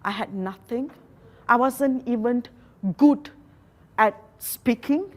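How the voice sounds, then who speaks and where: A woman from Malaysia, not a native English speaker, giving an earnest motivational talk with slow, emphatic phrases. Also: on the high side. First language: a Malaysian local language.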